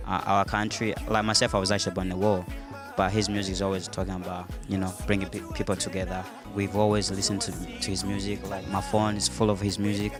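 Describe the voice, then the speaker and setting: A very deep, level-voiced man from Burundi talks eagerly about his community work, stumbling over "uh" and repeated words. Music plays clearly behind him. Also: level tone, fast talker. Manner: enthusiastic, many fillers and repeats. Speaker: youth community organizer. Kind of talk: casual interview.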